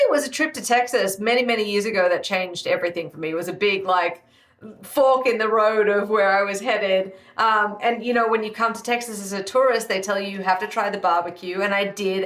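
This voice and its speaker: A woman's voice: medium pitch, a bit bright. A woman with an Australian accent in her thirties, answering interview questions in a chatty, upbeat way with many fillers. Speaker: guest, live fire cook. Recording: echoey room.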